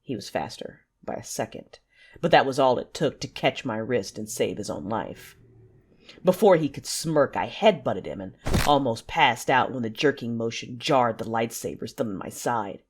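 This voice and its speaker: A woman playing a proud, vengeful warrior, telling the story in intense, measured first-person narration for an audio drama. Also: very deep voice, expressive, husky, exaggerated voice. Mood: strained, defiant. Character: proud, vengeful wounded warrior turning to the dark side.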